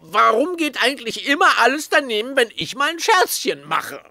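A grumpy, sneering cartoon squid with a high, gravelly edge. He drawls theatrically and whines, swooping wildly from note to note. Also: very animated, pushed and bright, no echo, heavily acted. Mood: rueful, reluctant. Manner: whiny, theatrical, drawn-out delivery. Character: grumpy, sneering, self-pitying adult male cartoon squid.

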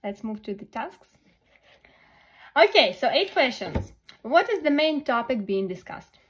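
A woman tutoring test preparation, thinking aloud through questions slowly with many pauses and fillers. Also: high voice, sing-song, fairly bright tone, a little hiss or hum.

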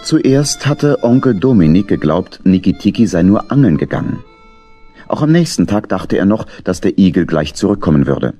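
A man narrating a children's story from a script, with husky, dramatic delivery. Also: deep voice, sing-song, normal speed, dark tone, soft background music.

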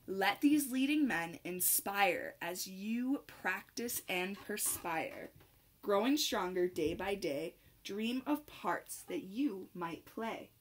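A young adult woman reading a rhyming picture book aloud to children in an expressive, sing-song manner with clear phrasing. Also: mid-range voice, slow, a little hiss or hum, poor audio. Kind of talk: children's read-aloud, rhymed storytelling.

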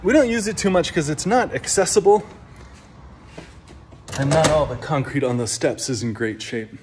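A man's voice: fairly high pitch, moderate pace, noisy background. A man in his thirties, a minister, chatting casually as he walks through an old church building, filmed on a phone held at arm's length. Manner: chatty, relaxed tour-guide talk while walking. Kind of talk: casual walking tour. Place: old stone church building, indoors and on a roof.

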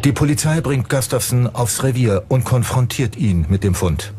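A man's voice: mid-range voice, expressive, moderate pace. A man narrating a true-crime documentary in a scripted style. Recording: music under the voice. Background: background music.

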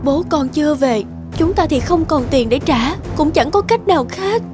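A woman's voice: high-pitched, lively intonation, moderate pace. A woman playing a distressed, grateful young wife and mother in a dubbed cartoon, with music behind her. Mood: sorrowful.

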